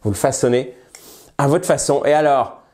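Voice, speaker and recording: A man teaching English phrases in French, with a head cold, lively and friendly, slowing down to model the English. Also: mid-range voice. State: has a cold, slightly nasal voice. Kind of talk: bilingual language lesson at a whiteboard.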